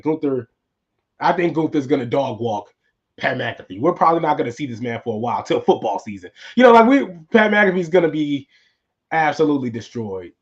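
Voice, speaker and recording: Man, podcast host, fairly high voice, tired and hoarse, rambling casual talk, dull lo-fi sound. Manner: casual, rambling, repeats himself. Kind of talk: solo wrestling recap podcast.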